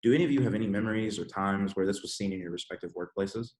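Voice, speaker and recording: Deep, fast-talking male podcast host. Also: a little mellow, reverberant. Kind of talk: scripted panel podcast.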